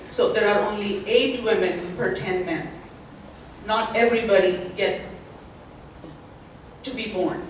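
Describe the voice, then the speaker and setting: A woman's voice: mid-range voice, slight background noise. A middle-aged woman with an Indian accent, speaking earnestly as a panelist at a symposium. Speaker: panelist, associate dean. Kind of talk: panel talk to a symposium audience. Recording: echoey hall from far off.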